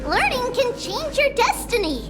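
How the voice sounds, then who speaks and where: Very high, hyper little girl. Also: normal speed, long reverb tail, music in the background. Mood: excited, eager. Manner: childlike, excitable, eager. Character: hyper, boastful little girl, a holy maiden. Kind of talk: anime-style game voice acting.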